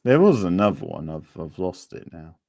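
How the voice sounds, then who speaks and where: A man's voice: sits low, very animated, normal speed, a little mellow, no echo, clean studio sound. A man hosting a quiz in a casual, chatty way, rambling a little as he thinks aloud. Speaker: quiz host.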